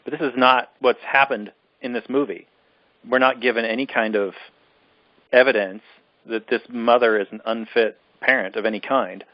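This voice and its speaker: A man's voice: medium pitch, fairly even intonation, moderate pace, dry recording, highs cut off. A man co-hosting a casual film discussion, ranting in an exasperated, emphatic way and repeating himself for emphasis. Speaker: podcast co-host reviewing films.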